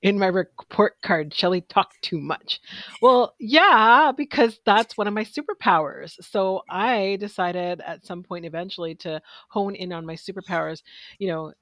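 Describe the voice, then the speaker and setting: A woman from Canada speaking as an interview guest, chatty and expressive, often tagging her points with "right?". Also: mid-range voice, unhurried, dry recording. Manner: chatty and expressive, laughs easily, fillers like "right?". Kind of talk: conversational interview.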